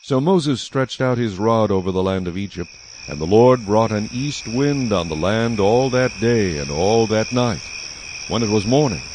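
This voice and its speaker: A man narrating a dramatized audio Bible in a measured, solemn storytelling style. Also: on the low side, background noise. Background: music.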